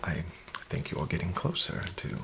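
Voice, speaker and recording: A man in a soft-spoken ASMR roleplay as a casino dealer, half-whispering smooth, flattering patter. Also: moderate pace, noisy background.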